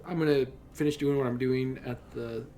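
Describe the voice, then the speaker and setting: Mid-pitched man playing a tabletop game. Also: steady tone, fairly quick, warm tone, a little hiss or hum. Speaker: tabletop roleplaying player. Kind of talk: casual in-character and out-of-character game talk.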